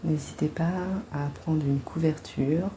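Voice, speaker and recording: A woman guiding a body-scan meditation in a gentle, soothing, unhurried manner, leaving long pauses between phrases. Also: deep voice, steady tone, a little hiss or hum.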